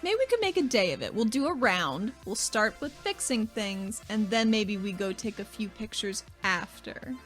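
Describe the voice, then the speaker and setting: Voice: mid-range voice, lively intonation, moderate pace, hardly any pauses, fairly bright tone, faint music behind, close to an everyday voice. A woman playing a friendly, persuasive female teammate in a tabletop game, coaxing in a casual way. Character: Sedaris, friendly coaxing woman who flatters her teammate.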